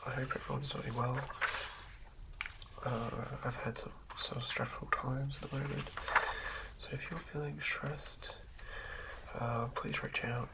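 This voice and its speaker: A man doing a soothing ASMR reading of fantasy game lore in a hushed, breathy whisper, occasionally stumbling over words. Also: mid-range voice, level tone, unhurried, long pauses. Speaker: ASMR host. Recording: lo-fi recording with clear background noise.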